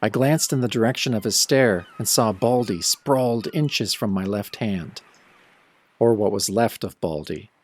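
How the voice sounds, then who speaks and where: A man's voice: lowish voice, a little slow. An actor playing a world-weary young man cursed as a werewolf, narrating his own story in old radio horror style, brooding and sardonic. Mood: wry, grim. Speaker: first-person narrator of an audio drama.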